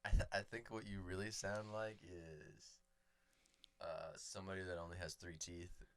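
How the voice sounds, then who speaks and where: A man co-hosting a comedy podcast, rambling through absurd improvised bits in a deadpan way. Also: sits low, moderate pace. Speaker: podcast co-host.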